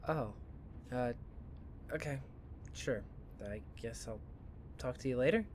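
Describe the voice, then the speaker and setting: A man playing a flippant but caring young student in an audio drama, talking in a casual, sardonic, expressive way. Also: mid-range voice, takes it slowly, slight background noise, close to an everyday voice. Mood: hurt.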